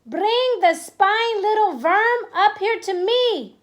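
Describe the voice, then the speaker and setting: A woman's voice: extremely high pitch, expressive, slow, voice pushed up, exaggerated voice. Shrieking cruel witch queen, as a woman puts her on. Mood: shrill, commanding. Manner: screeching commands. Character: shrieking, cruel old female witch leader.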